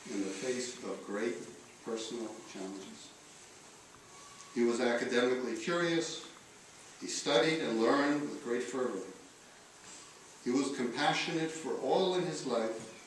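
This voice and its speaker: A man of middling pitch delivers a solemn eulogy from a written text. His phrasing is slow and deliberate, with pauses between short phrases. He is heard at a distance from the microphone in a very reverberant room. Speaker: eulogist reading letters at a memorial. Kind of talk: solemn read-aloud eulogy. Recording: echoey room, distant mic, faint noise.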